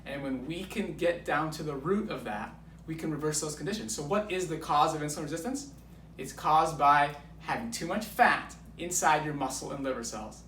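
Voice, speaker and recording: A man's voice: fairly high pitch, normal speed, a bit bright, distant mic. A man in his thirties explaining things as a guest coach in an enthusiastic, emphatic way, driving key points home. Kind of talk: enthusiastic explaining in a filmed conversation. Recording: roomy echo, off mic, background noise.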